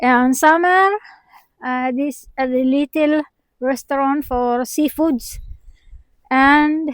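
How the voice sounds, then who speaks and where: A woman with a Filipino accent, a native Tagalog speaker, giving a chatty, halting travel-vlog tour outdoors by a windy harbor. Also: sits high, fairly even intonation, dry recording. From Philippines. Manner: chatty, halting, mixes in Tagalog, laughs often. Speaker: vlogger.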